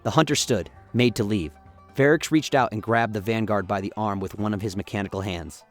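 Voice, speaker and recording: Low-voiced male narrator over soft music. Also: brisk. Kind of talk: scripted dramatic story narration.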